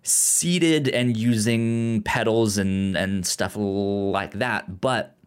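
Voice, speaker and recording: A man with a fairly low voice talks casually about film as a podcast co-host. His delivery is slow and halting, and he often catches on words and repeats them. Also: smooth. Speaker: podcast co-host discussing films.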